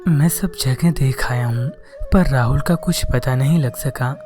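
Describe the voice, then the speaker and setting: A man's voice: mid-range voice, measured pace, music in the background, lightly acted. A man voicing a caring, reassuring father in a cartoon story. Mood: concerned.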